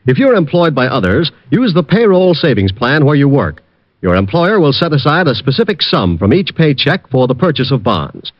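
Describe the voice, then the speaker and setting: A man's voice: on the high side, very animated, normal speed, no echo. A man announcing on a 1940s radio program with polished, formal delivery. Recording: dull old radio broadcast with music.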